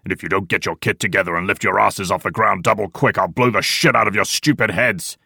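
A man's voice: sits low, brisk, sharp tone, no room sound, studio quality. A man voicing a weary, short-tempered old section leader in a war novel, barking coarse orders. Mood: furious.

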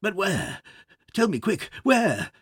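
A man's voice: sits high, wildly swooping pitch, slow, breathy edge, no room sound, clean studio sound, pitched above the performer's own voice, heavily acted. A man voicing a frantic stammering father. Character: panicked middle-aged French father.

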